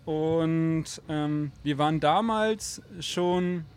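A German man from a metal band chats loosely in an interview. His voice sits a little high, and he rambles along, full of ums, often breaking into laughter as he talks. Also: extremely slow, smooth. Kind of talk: casual interview chat.

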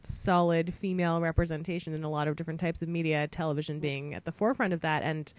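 A woman's voice: deep voice, fairly even intonation, fast talker, a little mellow, dry recording. A young woman co-hosting a casual podcast, playful and laughing often, with frequent fillers. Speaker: podcast co-host.

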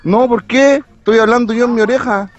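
A man's voice: very high-pitched, steady tone, fairly quick, loud music behind the voice. A Chilean man making a mocking prank phone call, goading in heavy slang. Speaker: prank caller.

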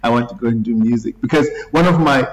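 Relaxed man in his thirties. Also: medium pitch, fast, low-quality recording. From Zimbabwe. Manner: relaxed, laughs easily, self-correcting. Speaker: guest musician. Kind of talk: casual interview talk.

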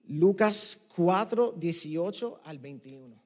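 A man with a Puerto Rican accent preaching a sermon, mixing Spanish with English and swinging from emphatic to hushed. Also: fairly high pitch, lively intonation, slow pace. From Puerto Rico. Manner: emphatic, rising and dropping to near hush. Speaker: pastor. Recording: long reverb of a hall.

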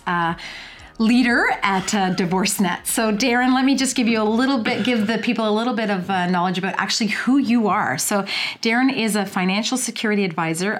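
A woman co-hosting a podcast, introducing and chatting with her guest in a complimentary way with fillers. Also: mid-range voice. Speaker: podcast co-host. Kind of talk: casual interview chat.

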